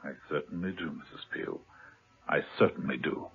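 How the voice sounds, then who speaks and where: A man's voice: extremely low pitch, moderate pace. An actor playing a stern male society head who speaks in a commanding way in a 1970s radio drama, on tinny old radio tape.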